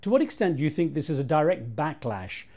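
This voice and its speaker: A man interviewing a guest for a news channel, asking formal, measured questions. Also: fairly high pitch, big pitch swings, darkish tone.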